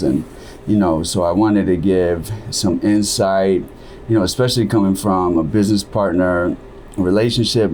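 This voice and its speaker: A man's voice: deep voice, darkish tone, a little hiss or hum. A man hosting a podcast, talking in a casual, rambling way with lots of "you know" fillers. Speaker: podcast host. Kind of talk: casual chat.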